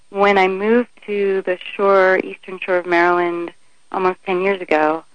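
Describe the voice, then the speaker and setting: A woman being interviewed on talk radio as the co-founder of a sanctuary, answering thoughtfully with frequent ums and pauses. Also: medium pitch, no echo, rough recording. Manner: thoughtful, hesitant with many ums. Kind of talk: radio interview over the phone.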